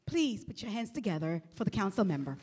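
A woman's voice: medium pitch, big pitch swings, brisk, a little mellow, husky. Woman emcee in a reverberant hall. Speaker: event emcee. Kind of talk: stage announcement. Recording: distant, long hall reverb.

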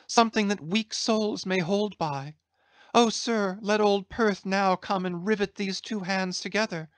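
A woman's voice: medium pitch, dry recording, natural voice. A woman voicing a pitiful, crazed young cabin boy in a dramatised sea story, with singsong, wandering speech. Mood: wistful, clinging. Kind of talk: dramatised classic sea novel reading.

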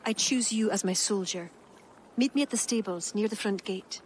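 An actress with an Irish accent playing a devout, steadfast young pagan court poet in a dramatic game cutscene. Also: female voice, medium pitch, normal speed, slight background noise, close to an everyday voice, poor audio. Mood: earnest, urgent. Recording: loud background music under dialogue.

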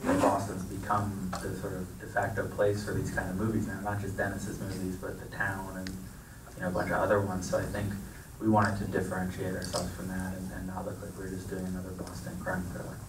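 A man, a film producer, answering questions on a theater stage into a handheld mic, measured and thoughtful with frequent restarts. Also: sits low, monotone. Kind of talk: panel Q&A answers. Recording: echoey theater, distant mic, noisy.

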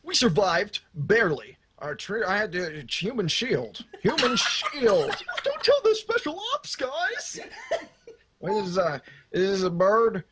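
A woman's voice: mid-range voice, wildly swooping pitch, measured pace. A woman voicing a blunt, menacing government agent in an improvised, giggly cartoon parody. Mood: amused. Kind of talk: improvised comic cartoon fandub. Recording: loud background noise, clicks.

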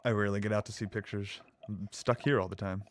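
A man's voice: lowish voice, fairly even intonation, quick pace, a little hiss or hum, close to an everyday voice. A man playing a nebbish lab assistant in an audio drama, hesitant, fussy and apologetic in naturalistic dialogue. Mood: awkward, apologetic.

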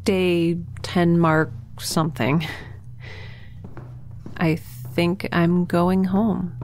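A woman voicing a blunt, sarcastic young scientist with a slightly lowish voice. She sounds dry and unimpressed. A light ambient hum sits behind her in the audio drama. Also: flat delivery, extremely slow, a little mellow.

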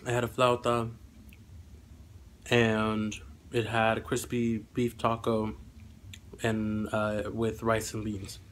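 A man's voice: fairly low pitch, completely flat delivery, slow, long pauses, a little hiss or hum. A young man with a slightly congested voice, chatting casually and hesitantly as he rambles through a vlog. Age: young adult. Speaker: vlogger. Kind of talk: casual eating vlog chat. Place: small room indoors at home.

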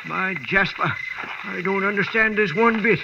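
An actor playing a nervous, folksy old innkeeper with a rural American accent and a stammering delivery in 1940s radio drama. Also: male voice, high voice, normal speed, creaky. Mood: flustered. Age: older. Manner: stammering, folksy drawl, easily flustered. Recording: muffled, noisy 1940s radio recording.

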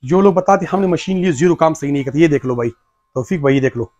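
Man in his thirties, shopkeeper, eager sales pitch, rapid speech, slightly high voice, lapel mic in a packed shop. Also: darkish tone, muffled recording. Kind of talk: product demonstration. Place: crowded electronics shop indoors.

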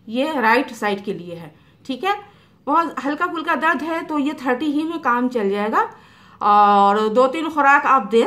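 A middle-aged woman homeopathic doctor, explaining remedies to camera in an unhurried way with many fillers, in a small room. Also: a little high, fairly bright tone. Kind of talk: health advice talk to camera. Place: small indoor room by a curtained window.

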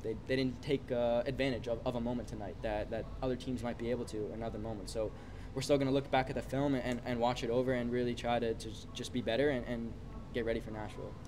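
Fast-talking young soccer player in a scrum. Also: male voice, mid-range voice, fairly even intonation. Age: 20s. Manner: quick, repeats words, casual fillers. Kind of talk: post-game media scrum. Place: busy stadium mixed zone, indoors. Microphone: reporters' phones and handheld mics held close. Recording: loud background chatter noise.

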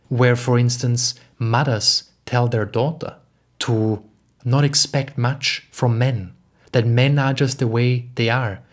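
A young man from Austria speaking in an earnest, emphatic way, slowly repeating phrases as he talks alone to the camera at home. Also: medium pitch. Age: young adult. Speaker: podcast host and spiritual teacher. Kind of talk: reflective solo talk. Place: home living room.

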